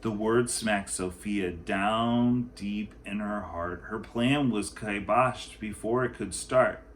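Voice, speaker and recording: A man, a teacher, reading a children's picture book aloud in an expressive storytelling style. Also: fairly low pitch, slow pace, slight background noise.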